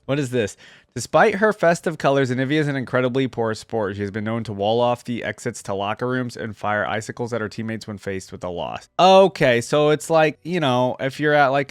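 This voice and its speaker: A man's voice: medium pitch, clean tone, dry recording, studio quality. A man giving casual, rambling reaction commentary as a video host.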